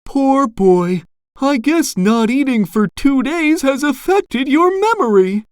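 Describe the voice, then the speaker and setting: A scolding mother pufferfish from a cartoon acted by a woman, insistent and commanding. Her high voice rises and falls sharply as she gives orders in a storybook tale, with a dry, close sound. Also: expressive, slow pace, darkish tone, no echo. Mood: coaxing, insistent. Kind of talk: children's storybook character reading.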